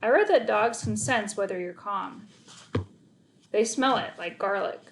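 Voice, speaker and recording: A woman narrating a children's story aloud in an expressive read-aloud style. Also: mid-range voice, measured pace, slight background noise.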